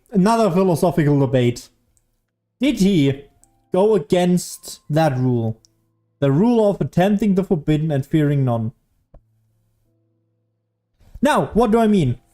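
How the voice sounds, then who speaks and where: A man's voice: high voice, warm tone. A young man giving casual gameplay commentary as a streamer, musing and arguing aloud and swearing casually. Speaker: game streamer. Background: game music.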